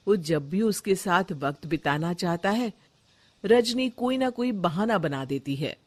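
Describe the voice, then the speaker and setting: Female narrator for scripted stories: unhurried pace, lively intonation. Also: on the low side.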